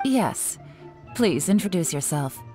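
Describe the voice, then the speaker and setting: Mellow, low, teasing woman in command. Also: big pitch swings, takes it slowly, faint music behind. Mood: coolly probing. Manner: composed, wry, in control. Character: cool, teasing, commanding female assessment officer. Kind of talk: video game voice acting.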